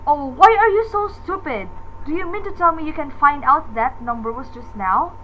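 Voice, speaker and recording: A woman's voice: sits high, expressive, moderate pace, highs cut off, a little hiss or hum. A woman playing a frail, nervous housewife in a radio drama, agitated, imperious and melodramatic. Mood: agitated, indignant. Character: frail, nervous, demanding housewife alone at night.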